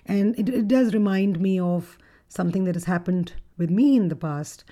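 A woman with a deep, gruff voice hosting a reflective solo podcast as a relationship coach, speaking in a measured, thoughtful way. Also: dark tone. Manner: measured, thoughtful delivery with occasional fillers.

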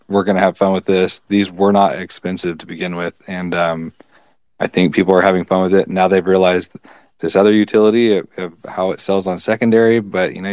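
A man answering as a panelist in a casual discussion, explaining his view in a measured way with pauses. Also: low voice, a little mellow, no echo.

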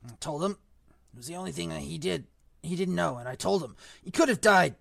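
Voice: mid-range voice, lively intonation, fairly quick. Hagrid: a reader doing a huge sobbing groundskeeper. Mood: remorseful. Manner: sobbing, self-blaming, rough-spoken. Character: giant tearful male groundskeeper, remorseful. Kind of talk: audiobook reading.

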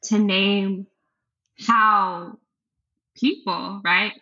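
A woman's voice: medium pitch, steady tone, very slow, bright, forceful tone. A woman guest talking earnestly and reflectively in a casual conversation. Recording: dull lo-fi sound.

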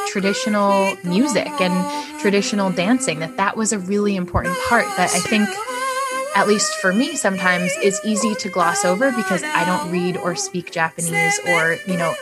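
A young adult woman giving a reflective interview answer as a scholar, thoughtful and searching, with many ums and likes. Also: on the low side. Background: soft background music.

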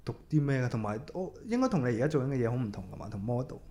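A man's voice: medium pitch, animated, very fast, dark tone. A man hosting a casual podcast, chatting in an easygoing way and mixing English words into his talk. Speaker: podcast host.

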